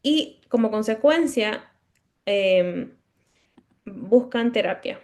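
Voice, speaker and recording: A woman's voice: medium pitch, lively intonation, slow pace, a little mellow. A woman who stutters, giving a conference lecture as a speech-language pathologist, explaining step by step, with occasional mid-word restarts and checking questions to the audience.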